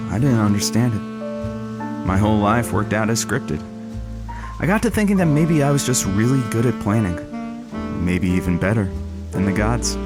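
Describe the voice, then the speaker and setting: An actor playing a radio producer filling in as host of a lonely-hearts show, wry and philosophical, a little hesitant in conversation. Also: male voice, on the low side. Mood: wry, reflective. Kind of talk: radio call-in monologue and banter.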